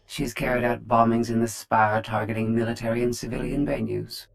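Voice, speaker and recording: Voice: mid-range voice, level tone, fairly quick, creaky, lightly acted. A performer playing a cool, calculating informant in a video game, giving briefings in a precise, formal, detached way. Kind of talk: video game cutscene acting.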